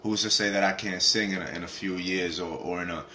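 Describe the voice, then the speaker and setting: Young guy w a raspy low voice, rambly vlog talk, bit of noise behind. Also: fairly even intonation, fairly quick, bright, forceful tone. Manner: rambling, repeats "you feel me", swears. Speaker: vlogger. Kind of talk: casual motivational talk to camera.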